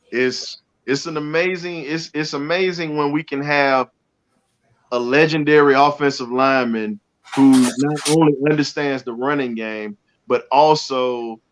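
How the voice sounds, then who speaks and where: A man's voice: mid-range voice, a bit bright. A middle-aged man hosts a sports talk show over a video call, speaking with eager energy and frequent restarts. His voice sits in a middle range, and the call audio sounds somewhat dull and lo-fi through his headset. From United States. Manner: enthusiastic, talks fast with fillers and restarts. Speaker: show host.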